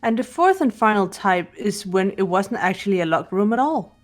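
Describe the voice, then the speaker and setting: A woman plays a composed teenage girl detective from a mystery visual novel. She lays things out precisely and deliberately, in a mid-pitched analytical voice over game music. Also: animated, close to an everyday voice. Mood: calm, analytical. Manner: deliberate, precise, lecturing delivery.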